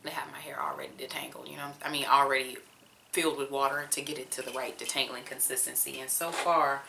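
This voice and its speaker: A young woman from Georgia speaks with a Southern accent, her voice low-pitched but bright and forward. She chats candidly and casually to her viewers as she works through her hair at home. A faint ambient hiss sits under the camera-mic sound. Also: fairly even intonation, fast talker, gravelly. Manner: candid, chatty, talks straight to viewers with casual slang. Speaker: teacher and vlogger. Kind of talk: casual chatty vlog while detangling hair.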